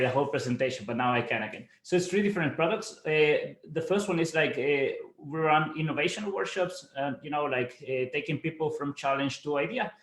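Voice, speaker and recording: A man's voice: mid-range voice, reverberant. A young man from El Salvador giving an online webinar presentation, talking casually with lots of fillers like "like" and "you know". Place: home office, based in Germany.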